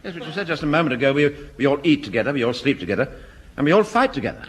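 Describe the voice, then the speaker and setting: An actor playing a diffident, polite middle-aged sergeant with an upper-class English accent in a broadcast sitcom. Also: male voice, fairly high pitch, lively intonation, rapid-fire, husky. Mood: mild, puzzled. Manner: hesitant, polite, languid. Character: diffident, well-bred middle-aged male sergeant, gently exasperated. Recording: noisy old radio comedy recording.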